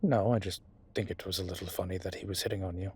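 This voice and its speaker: A man playing a low-key investigator in a roleplay audio drama, speaking quietly and laconically with dry teasing. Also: steady tone, quick pace, husky.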